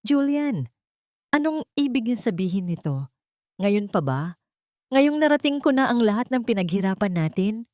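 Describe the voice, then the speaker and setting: A woman's voice: on the high side, very animated, moderate pace, long pauses, a little mellow, no echo, voice pushed up. Lady acting out a heartbroken filipina cop begging her husband, anguished, dry close sound, audiobook. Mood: hurt, pleading. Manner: pleading, anguished.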